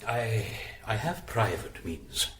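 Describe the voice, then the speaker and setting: A man's voice: fairly low pitch, very animated, slow pace, fairly bright tone, roomy sound, slight background noise, heavily acted. An actor playing a stiff, defensive middle-aged adoptive father in a radio drama, speaking in a prim, disapproving way.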